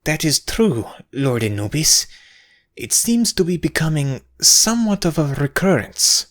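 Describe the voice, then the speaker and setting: Man putting on a meek servant, lively pitch. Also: measured pace. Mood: deferential. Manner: deferential, formal. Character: meek, deferential servant of a god. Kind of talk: ASMR audio roleplay acting.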